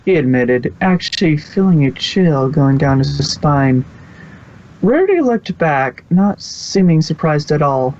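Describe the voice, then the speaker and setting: A man's voice: on the high side, sing-song, a little slow, darkish tone, slight background noise. A man reading scripted story narration as an amateur narrator. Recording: dull, lo-fi home recording.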